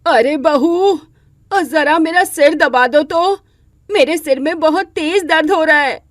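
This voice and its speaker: A woman's voice: sits high, slow, no room sound, studio quality, pitched above the performer's own voice. A woman voicing a kindly middle-aged mother-in-law in a moral story, with a motherly way of talking. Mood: pained, complaining. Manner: affectionate, motherly. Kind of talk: Hindi moral-story character acting.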